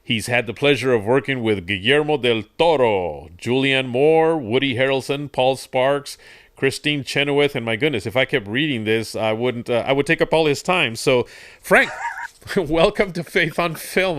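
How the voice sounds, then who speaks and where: A man's voice: mid-range voice, normal speed, fairly bright tone, dry recording, clean studio sound. A man hosting an interview, upbeat and jokey in his chat. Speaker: host. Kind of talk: friendly interview.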